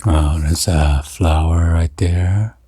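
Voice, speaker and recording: A man giving halting, murmured ASMR commentary as he hosts an unboxing, with short item-by-item remarks. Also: sits low, steady tone, warm tone, breathy edge. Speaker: unboxing video host.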